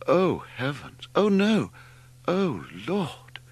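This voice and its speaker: A man's voice: on the high side, huge pitch swings, very slow, husky, voice pushed up, full character voice. A man voicing a flustered middle-aged English mother in an audiobook, hesitant and fussing. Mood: dismayed.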